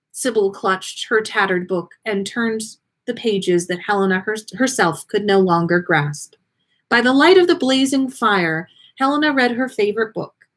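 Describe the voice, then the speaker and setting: A woman hosting a story livestream, reading aloud and chatting in an expressive, effusive way. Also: medium pitch. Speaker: podcast host reading listener stories.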